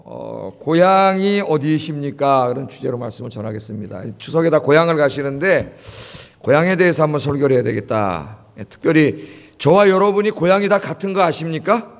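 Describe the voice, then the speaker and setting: A man's voice: fairly high pitch, expressive, a little fast, gravelly. A man in his fifties from Seoul, preaching a sermon to a church congregation in a chatty way, often asking them questions. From Seoul, South Korea. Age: 50s. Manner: conversational, asks the congregation questions. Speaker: pastor. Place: church sanctuary. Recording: long hall reverb.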